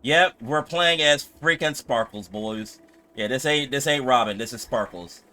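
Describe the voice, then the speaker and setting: Dude streaming a game, bright forceful midrange voice, swears a lot, repeats himself, slangy. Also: male voice, medium pitch, a little slow. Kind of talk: casual game commentary.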